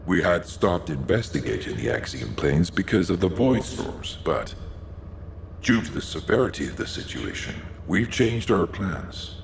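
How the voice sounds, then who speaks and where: A man playing a grave, formal commander who gives measured, urgent briefings in a video game. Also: low-pitched, very animated, vocal fry, echoey room, a little hiss or hum, exaggerated voice. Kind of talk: scripted video game dialogue.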